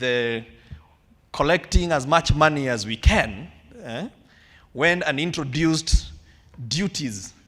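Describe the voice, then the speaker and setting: Measured man giving a keynote. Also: on the high side, a bit bright. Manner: measured, emphatic public speaking with frequent pauses. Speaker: keynote speaker. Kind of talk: formal keynote address. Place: large hall with an audience.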